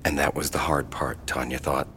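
A man narrating a scripted horror audio drama in a measured, solemn way. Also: extremely low pitch, little pitch movement, fairly bright tone, slight background noise. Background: music.

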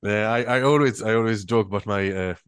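Musician guest, male, French Swiss accent, lowish voice, dry close sound, halting and full of "uh"s. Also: smooth, no room sound. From French-speaking Switzerland. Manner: hesitant, many fillers and restarts. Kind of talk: relaxed interview chat.

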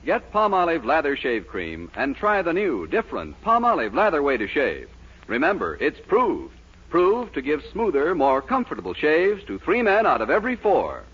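Husky, animated American radio pitchman. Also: normal speed. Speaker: radio commercial announcer. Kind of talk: 1940s scripted radio advertising. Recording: dull lo-fi 1940s radio recording.

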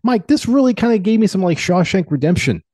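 An American man co-hosting a podcast, giving earnest, deliberate opinions on the news in a conversational way. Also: sits high, animated, a little mellow, dry recording, clean studio sound. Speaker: podcast co-host.

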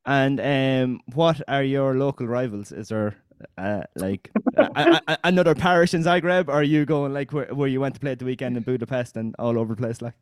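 A man's voice: medium pitch, expressive, a little fast, hardly any pauses, smooth, no echo, clean studio sound. A man with an Irish accent hosting a podcast, chatting casually in a colloquial way. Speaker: podcast host. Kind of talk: casual chat.

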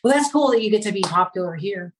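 A woman from the United States hosting a casual interview, talking in a rambling way with many pauses and restarts. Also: medium pitch, animated, a little hiss or hum. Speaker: podcast host, comedian.

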